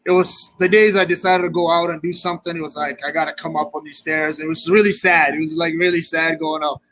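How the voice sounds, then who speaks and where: A man's voice: a little high, level tone, quick pace, fairly bright tone, a little hiss or hum, rough recording. A young man from Kenya chatting casually and earnestly as an interview guest. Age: young adult. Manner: earnest, informal. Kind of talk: casual chat.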